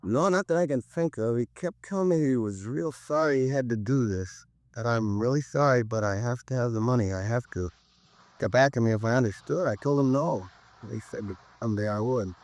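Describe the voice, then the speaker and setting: Guy doing a chatty 50s radio witness, store manager, halting n a bit irked, dry sound. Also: medium pitch, darkish tone, no room sound, lightly acted. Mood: rattled, earnest. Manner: colloquial, halting, rambling witness talk. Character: male liquor store manager, talkative, slightly irked robbery victim. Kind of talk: 1950s radio crime drama acting.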